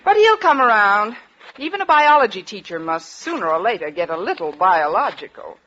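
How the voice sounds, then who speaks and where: An actress playing a wry, underpaid high school English teacher in a 1940s radio comedy, with dry, wisecracking delivery. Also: female voice, sing-song, normal speed, bright, forceful tone. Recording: dull vintage 1940s radio recording.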